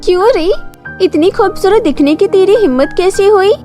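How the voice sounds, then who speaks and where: A woman's voice: extremely high pitch, normal speed, warm tone, faint music behind, voice pushed up. A woman voicing an arrogant, mischievous young fairy in a storybook tale, with a haughty, taunting manner. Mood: haughty, threatening.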